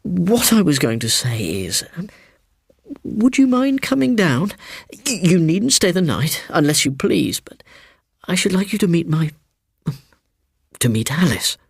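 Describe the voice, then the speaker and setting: A man's voice: fairly high pitch, very animated, a little slow, creaky, pauses often, breathy edge, no echo, studio quality, voice pushed up, full character voice. A man playing a nervous, evasive young man who speaks awkwardly in hesitant, broken sentences. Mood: awkward, pleading.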